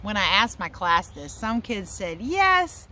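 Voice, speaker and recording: A woman teacher giving a chatty, expressive read-aloud lesson for young children, speaking outdoors. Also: medium pitch, measured pace, slight background noise. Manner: chatty, expressive asides and questions to listeners. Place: outdoors in a yard.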